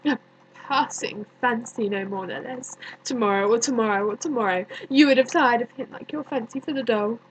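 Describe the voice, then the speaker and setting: A woman performing a dramatic stage monologue as a bitter, grieving young woman, deliberate and accusing, with many pauses. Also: on the high side, a little hiss or hum, natural voice, low-quality recording. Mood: scornful. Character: bitter grieving young woman haunted by her dead cousin.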